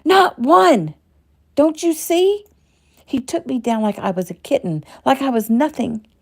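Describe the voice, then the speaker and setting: Brief: woman doing a raging patient, high and loud, gravelly edge, close to tears. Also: normal speed. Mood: furious. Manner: shouting, near tears. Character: furious traumatized young woman patient.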